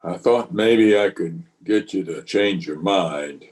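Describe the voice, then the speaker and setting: A weary frontier lawman, played by a man in a radio western. The voice is on the low side, grave and plainspoken. He sounds burdened by conscience, with no bluster at all. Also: slow pace, a little mellow. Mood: shaken, somber. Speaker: actor and narrator in an amateur radio play. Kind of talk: old-time radio western acting. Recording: voice recorded over an internet call.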